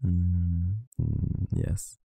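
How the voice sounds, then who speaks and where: A tired man talking softly and intimately, close to the mic, in an affectionate boyfriend roleplay. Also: low-pitched, monotone, extremely slow, breathy edge, no echo, faint music behind. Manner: soft, intimate, affectionate murmuring. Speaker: ASMR roleplay performer.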